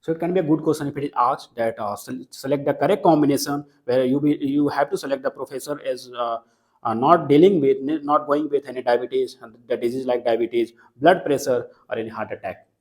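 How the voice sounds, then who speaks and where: Slow-talking teacher explaining a poem. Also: male voice, medium pitch, darkish tone. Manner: mixes Hindi and English, checks understanding, repeats key lines. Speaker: literature teacher. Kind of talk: classroom lecture explaining a poem line by line.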